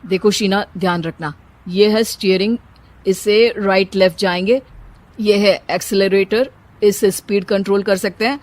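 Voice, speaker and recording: A woman's voice: mid-range voice, fairly even intonation, moderate pace, a little mellow, natural voice. A woman voicing a teasing, joking young husband in a cartoon story.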